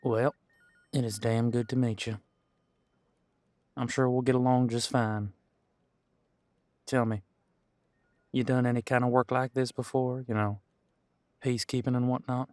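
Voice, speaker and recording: A man acts out a veteran lawman of a supernatural police squad in an audio drama. He is friendly and folksy, talking in an easygoing, halting, chatty way at a middling pitch. The sound is dry and close. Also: level tone, darkish tone, no echo, lightly acted. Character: friendly, folksy, long-serving supernatural squad cop, older than he looks. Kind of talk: conversational audio roleplay to an unseen partner.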